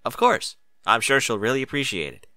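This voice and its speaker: A man's voice: medium pitch, sing-song, a little fast, creaky, bright, forceful tone, dry recording, studio quality, exaggerated voice. A man voicing an earnest, sheepish young boy dragon with a casual manner in a storybook reading. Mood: helpful.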